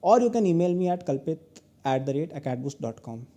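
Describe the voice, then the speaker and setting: A young man in his twenties with an Indian English accent gives earnest advice in a recorded lecture. His pitch sits a little high for a man, but his tone is very dark and mellow. He keeps ending points with "okay?". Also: very animated, a little fast. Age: 20s. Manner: earnest advice, tags many lines with "okay?". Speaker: guest lecturer, entrepreneur.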